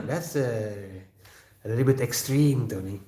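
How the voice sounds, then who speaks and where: A man with an Indonesian accent, not a native English speaker, hosting a casual livestream and reading viewer comments in a halting way, with repeated words, fillers and frequent throat clearing. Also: medium pitch, expressive, darkish tone. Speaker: livestream host. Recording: echoey room.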